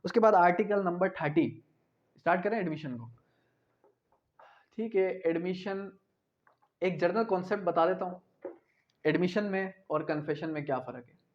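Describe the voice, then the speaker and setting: A man's voice: sits high, normal speed, long pauses, lo-fi sound. A man teaching law in an informal, explanatory way, repeating key points and mixing in English terms. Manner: switches between Urdu and English terms. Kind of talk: informal exam-prep lecture.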